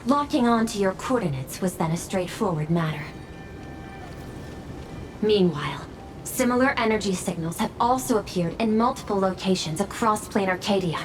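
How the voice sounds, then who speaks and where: Game role: logical female robot, woman actor, mid-pitched, clipped and emotionless, music behind. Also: natural voice, low-quality recording. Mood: formal, analytical. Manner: clipped, precise, emotionless announcing. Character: formal, logical female robot intelligence reporting data. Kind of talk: scripted game voice acting. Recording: background music under the voice.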